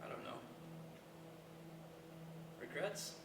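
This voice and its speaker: An actor in a staged play reading, playing an earnest, hesitant, reflective young dropout in naturalistic dialogue. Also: male voice, takes it slowly, lightly acted. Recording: echoey, distant, noisy live stage recording.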